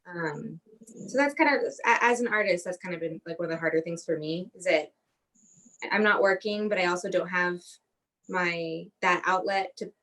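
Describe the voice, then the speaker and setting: A woman's voice: lowish voice, normal speed, fairly bright tone, distant mic. A woman chatting casually as a panelist, recorded away from the microphone. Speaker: roundtable panelist, theater artist. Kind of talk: casual chat.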